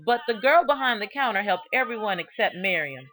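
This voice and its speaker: A woman reading a children's biography aloud in an expressive, deliberate storytelling manner, stressing key words. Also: medium pitch, low-quality recording. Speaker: storybook reader.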